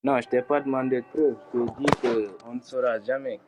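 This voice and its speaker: A man's voice: medium pitch, steady tone, normal speed, noisy background. A man calling into a live debate, hesitant and stammering over his words.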